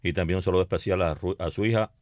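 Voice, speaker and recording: A deep-voiced man talking sports on the radio, with a slightly hoarse throat. He talks in an effusive, warm way, full of greetings. The sound is dry and close in the studio. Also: very fast, no echo. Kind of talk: casual radio sports chat.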